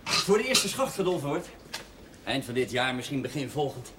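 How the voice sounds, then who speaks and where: A polite young mining engineer played by a male actor in a television drama. His medium-pitched voice moves in lively contours, though his manner is measured and deliberate. The old soundtrack has clear noise and clinking cutlery behind him. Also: lightly acted. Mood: matter-of-fact. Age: young adult. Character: polite, level-headed young mining engineer, newly graduated. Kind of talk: naturalistic television drama dialogue.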